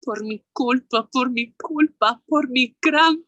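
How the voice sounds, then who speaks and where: A woman performing a bilingual Shakespeare monologue outdoors as a remorseful general facing death, in a measured, solemn delivery. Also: high voice, sharp tone, dry recording, dull audio, pitched above the performer's own voice, exaggerated voice. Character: man, a remorseful general confessing before death.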